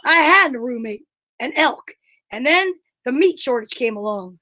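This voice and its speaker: A very high-pitched cave hermit acted by a woman, grumpy and fed up with people. He answers in theatrical deadpan, radio comedy style. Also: normal speed, full character voice. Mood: secretive, deadpan. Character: reclusive male hermit in a lion skin, grumpy misanthrope. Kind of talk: amateur old-time radio comedy acting.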